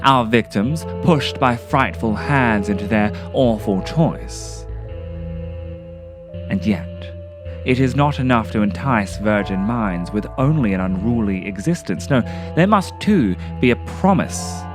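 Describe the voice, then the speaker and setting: A man with a fairly low voice narrates a scripted essay over clear background music. His intonation moves widely, and his phrasing is literary and theatrical. The delivery is reflective throughout. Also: expressive, measured pace. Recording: music behind the voice.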